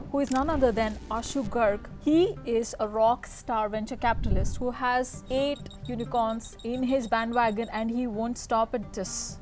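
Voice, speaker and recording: High-pitched woman hosting a podcast. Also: a little mellow. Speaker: podcast host and interviewer. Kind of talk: podcast introduction and interview questions.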